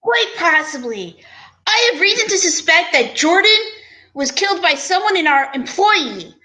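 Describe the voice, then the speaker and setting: A woman's voice: extremely high pitch, big pitch swings, moderate pace, pushed and bright, heavily acted. A woman playing a flustered, anxious male store executive in an amateur old-time radio drama, hesitant and fussy. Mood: worried.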